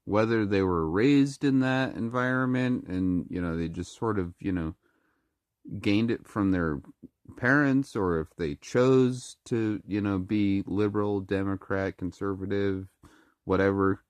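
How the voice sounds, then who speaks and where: Vlogger, speaks with a very low, deep voice, slightly sick and clearing the throat, halting delivery full of restarts, dry close sound. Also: male voice, no room sound. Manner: halting, many restarts and fillers. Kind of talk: casual monologue to camera.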